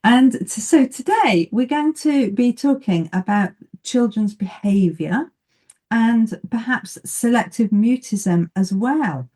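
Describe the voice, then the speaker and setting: A woman hosting an interview show, who introduces her guest and asks questions with warm enthusiasm. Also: a little high, animated, slow pace. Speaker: podcast host.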